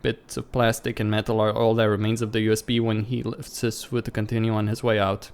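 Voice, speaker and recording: A man's voice: lowish voice, level tone, fairly quick, clean tone. A man hosting a let's play, reading a visual novel's story aloud as narrator and adding casual asides.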